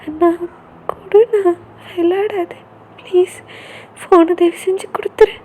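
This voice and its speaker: A woman's voice: high voice, measured pace, dark tone. A woman voicing a despairing young woman who pleads tearfully to be taken away from home. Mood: begging.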